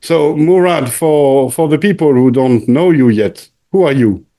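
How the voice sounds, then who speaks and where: A man hosting an interview in conversation, speaking haltingly, often repeating words and searching for the next one. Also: a little high, mellow. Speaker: channel host interviewing a returning guest.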